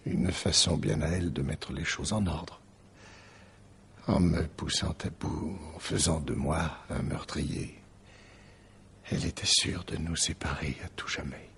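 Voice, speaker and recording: An actor playing a tormented, bitter lover in a French radio drama, confessing in a husky, gravelly, brooding voice. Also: male voice, rumbling low, measured pace, a bit bright, full character voice. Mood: grim, resigned.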